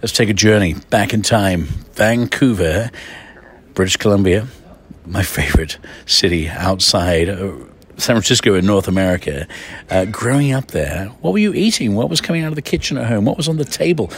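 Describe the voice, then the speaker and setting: A man's voice: on the low side, lively intonation, normal speed, slightly rough. A man hosting a radio food interview, effusive, chatty and theatrical. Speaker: radio food show host.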